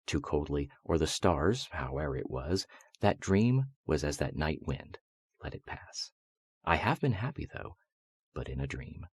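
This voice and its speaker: A man with an extremely low, husky voice reads gothic verse aloud. His delivery is solemn and measured, with theatrical phrasing suited to bedtime listening. The sound is close and dry. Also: expressive, dry recording. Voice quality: husky, very deep voice. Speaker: voice-over artist reading poetry. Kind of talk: dramatic recitation of gothic poetry for sleep and relaxation.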